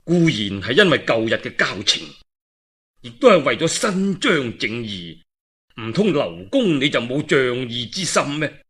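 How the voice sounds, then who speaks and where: A man's voice: medium pitch, sing-song, measured pace, bright, forceful tone, husky, heavily acted. A man with a Cantonese accent voicing a grave, earnest lord who urges others to duty in formal speech, in a storybook tale. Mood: urgent, grave.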